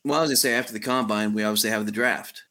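Low bright-voiced podcast host, man. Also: fairly even intonation. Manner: steering the talk, many "uh" pauses. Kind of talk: podcast interview.

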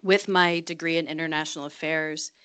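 A woman's voice: low-pitched, steady tone, a little fast, fairly bright tone, clean tone. A woman in her late thirties, from Virginia, answering interview questions thoughtfully with frequent restarts and repeated words. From Virginia, United States. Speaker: guest, government attaché.

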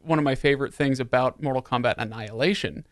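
A man's voice: medium pitch, fast, dry recording. Rambling man in dry-sounding casual film talk. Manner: rambling, self-interrupting. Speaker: podcast co-host.

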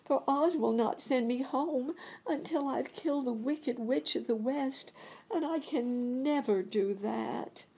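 A plaintive young girl's voice, raised well above the reader's own low pitch, with a husky, gravelly edge beneath it, as a woman reads her part. The girl is gentle and sorrowful, and she speaks slowly with lively, rising lines, as in an audiobook. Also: full character voice. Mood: hopeless. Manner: soft, plaintive girlish voice. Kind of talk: storybook reading.

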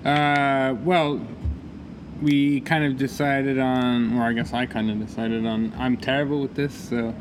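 Relaxed guest, mid-range voice, hesitant delivery, clear bar noise under him. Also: male voice, medium pitch. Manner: laid-back, halting, filler-heavy. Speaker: guest, club event organizer. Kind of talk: casual drinking chat. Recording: noisy bar ambience.

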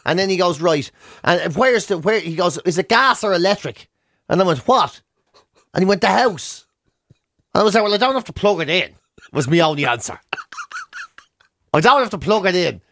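A high-pitched Irish man co-hosts a radio show. He talks in a casual comic way and acts out conversations as he tells his stories. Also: moderate pace, pauses often. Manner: animated storytelling, acting out dialogue. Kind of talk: comic casual chat and anecdotes.

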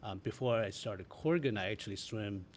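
A middle-aged man from Taiwan reminiscing casually in an interview, in a halting, self-correcting way. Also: fairly low pitch, animated. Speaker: interview guest, architect. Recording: noticeable background noise.

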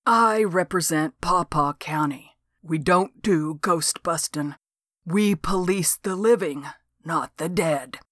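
A woman voicing a gruff, work-shy county lawman with a folksy, exasperated drawl. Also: fairly high pitch, slow, fairly bright tone, dry recording, clean studio sound. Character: gruff, lazy small-town county lawman.

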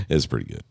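A man co-hosting a podcast, talking in a laid-back, rambling way with a deep gravelly voice and frequent laughs. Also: no echo, studio quality. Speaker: podcast co-host. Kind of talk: casual chat.

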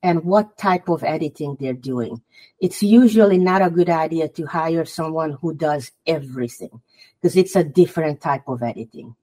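A woman's voice: low-pitched, normal speed, dark tone. A woman from Hungary with a Hungarian accent, speaking English, which is not her first language, as a guest author on a podcast interview and giving earnest advice.

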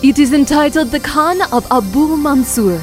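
A woman's voice: high-pitched, lively intonation, normal speed, faint music behind. A woman playing a saucy, teasing young lady in an audio drama, with theatrical mock-scolding and archaic speech.

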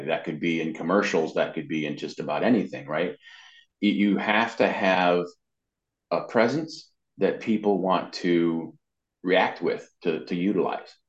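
A man speaking conversationally as an interview guest, explaining social media marketing practice. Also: low voice. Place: indoors. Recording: muffled, band-limited, roomy echo.

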